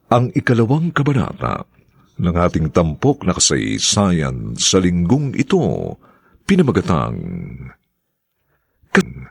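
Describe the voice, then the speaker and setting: A man's voice: low voice, vocal fry, slightly rough. A man announcing a radio drama in a formal, polite manner and drawing his words out. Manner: slow, polite, drawn-out delivery.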